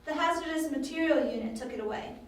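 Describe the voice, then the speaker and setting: A woman's voice: high-pitched, fast, natural voice. A woman playing a spirited, cheeky young female informant in an amateur audio drama, chatty and a little grudging. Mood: wry, eager. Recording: distant, very echoey, faint room noise.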